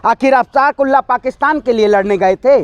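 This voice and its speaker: A man's voice: very high voice, brisk, clean tone, dry recording, muffled recording. A man in a heated street political rant, combative and emphatic, firing rhetorical questions as he is interviewed. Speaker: political speaker being interviewed.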